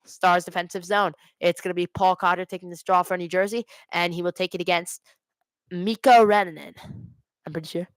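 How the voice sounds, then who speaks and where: A woman's voice: fairly low pitch, fairly even intonation, fairly quick, dry recording. A woman giving live amateur hockey play-by-play for a fan watch party, correcting herself and explaining informally. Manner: exasperated Devils fan, self-correcting, explains plays informally.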